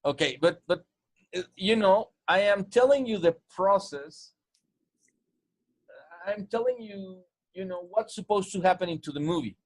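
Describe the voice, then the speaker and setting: A man's voice: high voice, animated, measured pace, no room sound. A man from Mexico with a Spanish-tinged English accent, coaching on sales in casual chat, often restarting and repeating words. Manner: repetitive restarts, stumbling over words.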